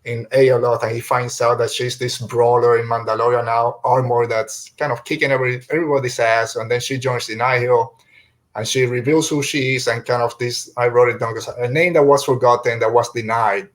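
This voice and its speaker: A man's voice: medium pitch, flat delivery, a little fast. A man hosting a casual podcast review, retelling things in an informal, rambling way. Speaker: podcast host.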